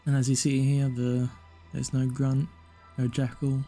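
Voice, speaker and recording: Guy with creaky voice doing laid back game commentary, kinda confused, repeats himself, game music behind. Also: mid-range voice, monotone, unhurried, dark tone. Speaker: gaming video narrator. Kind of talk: casual rambling gameplay commentary.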